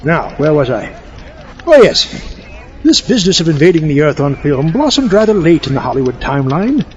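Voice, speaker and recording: A haughty, fussy mad-scientist doctor acted by a man in a comic audio play. His delivery is wordy and wry, fairly high and animated, on slightly muffled sound. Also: normal speed. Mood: wry, lecturing. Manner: pompous, wry, wordy, mock-erudite. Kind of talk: comic audio-drama monologue.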